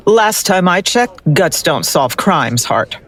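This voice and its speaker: Woman playing a sardonic forensic analyst, lively intonation. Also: fairly low pitch, slow, full character voice. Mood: skeptical. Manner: deadpan, sardonic. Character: skeptical, dry female forensic analyst, exacting. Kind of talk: audio drama acting.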